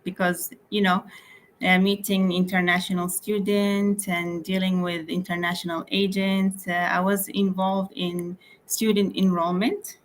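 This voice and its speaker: A young woman speaks over a video call in a somewhat low voice, faint hiss behind her. She talks casually and hesitantly, pausing on fillers and restarting her sentences. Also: level tone. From Malaysia. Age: young adult. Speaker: interior architect guest. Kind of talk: casual interview.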